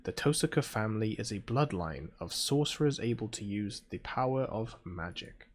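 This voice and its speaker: A man with a British accent reads visual novel text aloud and adds casual asides as a gaming video host, in a soft-spoken, chilled ASMR-like way. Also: on the low side, lively intonation, moderate pace.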